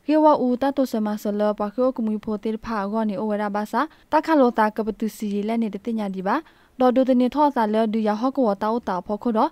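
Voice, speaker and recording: A woman's voice: on the high side, brisk, dark tone, dry recording. A woman presenting scripted radio news, reading as a presenter in a studio.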